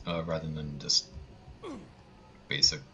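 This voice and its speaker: A man's voice: extremely low pitch, level tone, takes it slowly, sharp tone, muffled recording. A man giving casual, wry live commentary while speedrunning a video game. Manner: sarcastic, wry.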